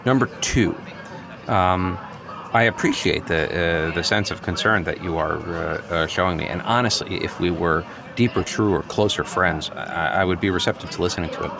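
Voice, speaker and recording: A man's voice: sits low, normal speed, gravelly, crowd noise. An actor playing a neurotic, agitated office worker in his late thirties, wordy and hesitant, justifying himself point by point in improvised audio comedy. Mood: insistent, aggrieved. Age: late 30s. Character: neurotic, agitated office worker newly rejecting social norms.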